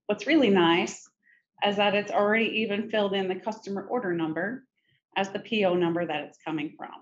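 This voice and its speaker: A middle-aged woman presenting a software demo in a conversational, informal step-by-step tutorial style. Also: mid-range voice, fairly even intonation, brisk. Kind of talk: conversational screen-share tutorial. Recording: muffled, noisy screen-recording audio.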